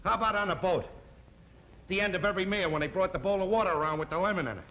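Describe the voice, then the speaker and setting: An actor playing a loud, blustering husband in a 1950s sitcom. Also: male voice, high voice, rapid-fire, muffled recording. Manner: loud and bombastic. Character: blustering, hot-headed working-class husband. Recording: old TV soundtrack with clear hiss.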